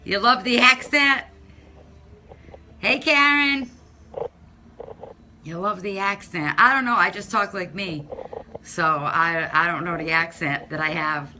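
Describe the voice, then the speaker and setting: Bright-voiced Massachusetts woman chatting loudly. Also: mid-range voice, slow, pauses often, slight background noise. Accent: Massachusetts, non-rhotic. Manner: chatty, loud, talks to commenters by name. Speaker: Facebook live streamer. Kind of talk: casual live-stream chat with viewers. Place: home, by a window. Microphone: phone held at arm's length.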